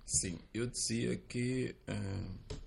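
Expert guy on the radio, super gravelly, slow and formal. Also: lowish voice, steady tone, darkish tone, slight background noise. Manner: measured, formal. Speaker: expert guest. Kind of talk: explanatory radio talk.

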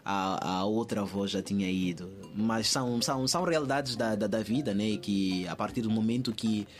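A man's voice: lowish voice, moderate pace, soft background music. A man from Mozambique with a Mozambican accent, answering conversationally as an interview guest, often repeating phrases as he thinks aloud.

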